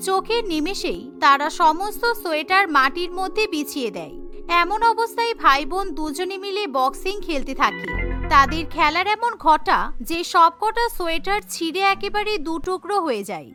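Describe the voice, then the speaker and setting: A woman narrates a cartoon in a scripted, storytelling manner. Her voice is very high and sharp-edged. Soft music plays underneath. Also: animated, moderate pace. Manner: storytelling, voices every character.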